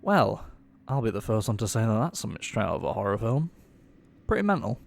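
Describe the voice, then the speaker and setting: Actor as a creaky-voiced, flippant ghost hunter. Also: male voice, lowish voice, very animated, a little fast. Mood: wry. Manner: flippant, breezy. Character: flippant, game male member of exorcist team.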